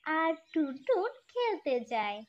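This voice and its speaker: A woman's voice: high voice, big pitch swings, slow. A woman giving scripted storybook narration for a children's fairy tale. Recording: dull lo-fi track with music.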